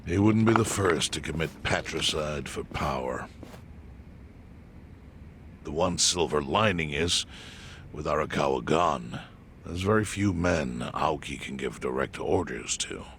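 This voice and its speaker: Very deep-voiced, grave yakuza chairman. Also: animated, a bit bright, slight background noise, heavily acted. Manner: measured, deliberate, solemn. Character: grave, calm older yakuza clan chairman. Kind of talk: video game cutscene dub acting.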